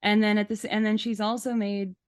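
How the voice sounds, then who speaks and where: A woman's voice: medium pitch, little pitch movement, brisk. A woman co-hosting a podcast, chatting casually in an articulate, emphatic way. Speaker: podcast co-host. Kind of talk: casual chat.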